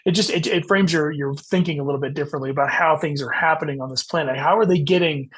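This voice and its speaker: A man speaking as an author guest in a casual podcast interview, explaining thoughtfully and haltingly as he corrects himself. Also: fairly high pitch, fairly bright tone, husky.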